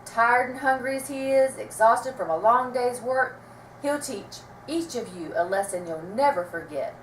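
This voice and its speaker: A woman's voice: on the high side, normal speed, pitched above the performer's own voice, natural voice. A woman voicing a frantic, scolding storybook mother with a hollering, dramatic delivery. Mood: angry scolding. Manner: hollering, exclamatory. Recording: roomy, distant mic, faint noise.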